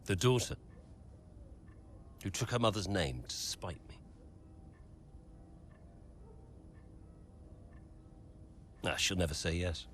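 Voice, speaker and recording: A man's voice: deep voice, lively intonation, normal speed, fairly bright tone, a little hiss or hum. An actor playing a stern, wealthy older father and cold businessman in a video game drama. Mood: bitter refusal. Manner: curt, cold, unyielding.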